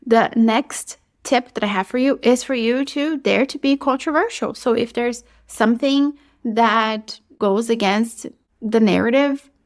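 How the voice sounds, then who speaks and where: A Brazilian-accented woman in her thirties talks through a solo podcast episode. Her voice sits a little high, and she speaks in an upbeat, chatty way, filling her sentences with casual "like"s. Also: a little slow. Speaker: podcast host. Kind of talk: solo podcast advice talk.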